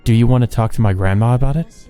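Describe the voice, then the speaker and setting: A man voicing a calm young woman in a visual novel, plain and matter-of-fact in how she talks. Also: mid-range voice, fast, smooth, soft background music, natural voice.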